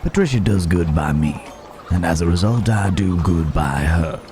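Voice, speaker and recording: A man playing a roguish, wry but protective Wild West cowboy in an ASMR roleplay, with a halting, teasing drawl. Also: sits low, expressive, darkish tone, soft background music. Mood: dark, knowing. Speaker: audio roleplay voice actor. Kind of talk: ASMR roleplay acting, addressed to the listener.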